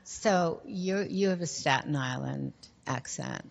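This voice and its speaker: An older woman with a New York accent, hosting a casual interview chat and talking in an unhurried way with frequent ums. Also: low-pitched, gravelly. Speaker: host.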